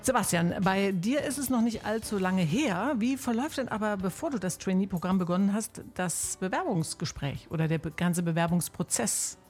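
A woman's voice: medium pitch, lively intonation, moderate pace, hardly any pauses, darkish tone, faint music behind. Upbeat woman hosting a radio interview. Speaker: radio show host.

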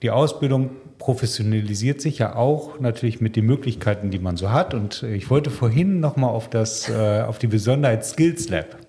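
A man's voice: medium pitch, moderate pace, hardly any pauses, dark tone. A man from the nursing school taking part in an interview, speaking in a conversational way with frequent affirming murmurs.

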